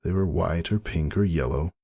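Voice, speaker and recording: A man giving a dramatic first-person story reading as narrator, husky and brooding in manner. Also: low voice, normal speed, mellow. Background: faint music.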